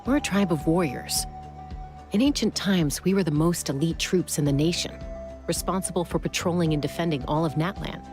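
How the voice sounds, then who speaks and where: Woman playing a low-voiced, assured warrior woman. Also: a little fast, darkish tone, close to an everyday voice. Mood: calm pride. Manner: laid-back, assured. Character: cool, composed young warrior woman, protective and frank. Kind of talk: video game voice acting. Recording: music behind the voice.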